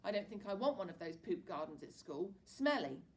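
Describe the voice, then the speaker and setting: A woman voicing an excitable young boy, the narrator's little brother. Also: medium pitch, brisk, recorded from a distance, lightly acted. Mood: eager.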